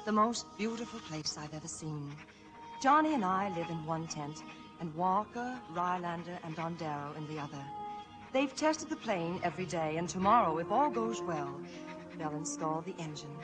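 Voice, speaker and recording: A woman's voice: fairly low pitch, expressive, moderate pace, natural voice. Lady acting sweet hopeful devoted wife, earnest 1940s radio drama, old broadcast sound, some music under. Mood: glad. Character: devoted, hopeful, encouraging young wife of an inventor.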